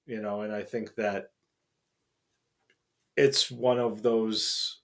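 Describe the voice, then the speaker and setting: A man's voice: fairly low pitch, level tone, slow, a bit bright. Middle-aged male co-host, casual and rambling, fills gaps with ums. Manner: rambling, many fillers and restarts. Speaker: podcast co-host. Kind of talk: casual chat.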